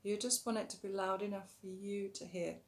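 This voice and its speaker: Woman, yoga teacher, slightly rough voice, calm instructive delivery, soft guidance. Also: medium pitch, a little fast. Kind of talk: breathing tutorial.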